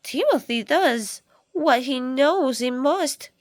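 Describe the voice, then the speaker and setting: A woman reading a picture book aloud to young children, dramatically, with drawn-out words and shifts between hushed and loud delivery. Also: sits high, animated, no echo, studio quality. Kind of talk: animated read-aloud storytelling for young children.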